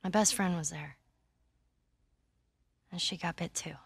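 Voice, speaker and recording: A woman's voice: on the low side, fairly even intonation, a little slow, vocal fry, breathy edge, dry recording, clean studio sound, heavily acted. A woman playing a vulnerable, plain-spoken American teenage girl survivor in a video game. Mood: sad, hesitant. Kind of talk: cinematic video game acting.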